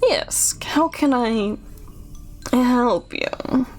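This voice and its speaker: A woman's voice: fairly high pitch, lively intonation, drawn-out words, soft background music, pitched above the performer's own voice. A woman voicing a creepy, hunched male innkeeper in a video game, with sinister politeness, a lisp and oddly stressed words. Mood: ominous, courteous. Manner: lisping, oddly emphasized words. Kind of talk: video game character read-aloud.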